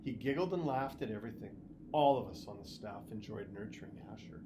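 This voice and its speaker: A storybook reader playing a kindly, reassuring father who chats gently about his own youth. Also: medium pitch, expressive, a little fast, noisy background, natural voice. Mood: fond, amused.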